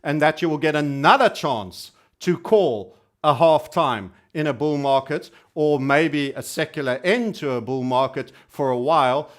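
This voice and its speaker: A man's voice: fairly high pitch, unhurried, fairly bright tone. A man hosting an informal online trading commentary, speaking emphatically and repeating phrases for stress, with a cheeky, colourful way of putting things. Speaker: YouTube trading host.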